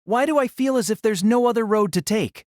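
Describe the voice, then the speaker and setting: A woman's voice: fairly high pitch, brisk, a little mellow, no room sound, clean studio sound, close to an everyday voice. A woman playing a polite, bewildered teenage boy student in an audio drama, hesitant and questioning. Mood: hesitant, subdued. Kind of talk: anime-style audio drama acting.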